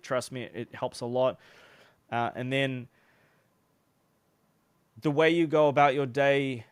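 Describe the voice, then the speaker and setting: A man's voice: mid-range voice, clean tone, dry recording. A young man with a New Zealand accent giving casual advice to camera in a loose, chatty way, with lots of fillers and restarts. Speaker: online business coach.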